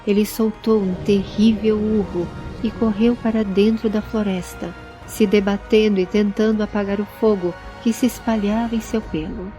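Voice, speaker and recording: A woman narrates a fantasy audio story in a soft, mellow voice. She reads from a script at a medium pitch, with faint music underneath. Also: fairly even intonation, moderate pace, few pauses. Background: background music.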